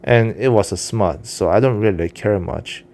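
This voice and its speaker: A man's voice: on the low side, moderate pace, a little mellow. A man with a Korean accent, a writer who speaks English as a second language, talking candidly to his cat in a rambling, casual vlog monologue. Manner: rambling, candid, frequent fillers and restarts. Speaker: vlogger and self-published writer.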